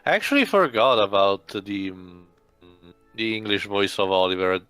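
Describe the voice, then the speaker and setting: Low, crisp, bright-toned man. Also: very animated, moderate pace, no echo, faint music behind. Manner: moves the talk along, short remarks. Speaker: let's play co-commentator. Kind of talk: casual game commentary.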